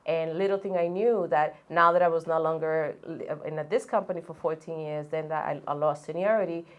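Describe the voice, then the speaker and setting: Woman with a low voice. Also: brisk, highs cut off. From Dominican Republic. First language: Spanish. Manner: earnest and steady, with frequent restarts and fillers. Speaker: guest, college coach and business founder. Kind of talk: conversational interview storytelling.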